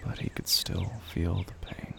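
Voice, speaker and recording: A man narrating a scripted dramatic story in a slow, grave, hushed manner. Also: a little hiss or hum.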